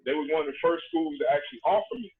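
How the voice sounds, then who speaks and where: A man's voice: fairly high pitch, racing. Man on a tinny call line. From Dublin, Georgia, USA. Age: late 30s. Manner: relaxed, earnest, many "you know" fillers. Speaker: guest, former basketball player. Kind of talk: casual storytelling conversation. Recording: tinny phone-like call line with faint noise.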